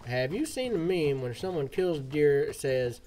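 A man's voice: fairly high pitch, very animated, moderate pace, dark tone, slight background noise. A man chatting casually as a live game streamer, in a folksy put-on American cowboy accent full of slang. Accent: put-on American cowboy drawl.

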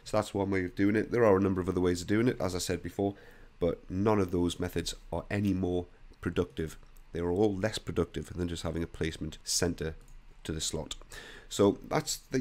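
A young man with a croaky voice, bluntly talking through a CAD software screen recording. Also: deep voice. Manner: blunt, opinionated, conversational. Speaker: CAD software tutorial host.

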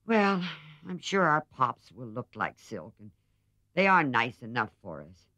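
A woman's voice: rumbling low, sing-song, unhurried, sharp tone, dry recording, exaggerated voice. A woman voicing a blunt, careless teenage tomboy sister in a storybook, offhand in manner. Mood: blunt, dismayed. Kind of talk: storybook character reading.

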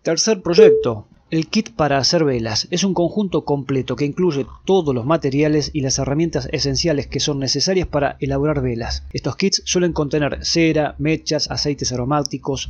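A man reading a scripted explainer as a video host, in a presentational, clearly enunciated way. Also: a little high, darkish tone, dull audio.

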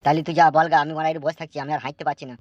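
A man's voice: on the high side, level tone, racing, highs cut off, natural voice. A man voicing a weary, cynical, foul-mouthed henchman in a comedy cartoon, crude and grumbling. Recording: music behind the voice.

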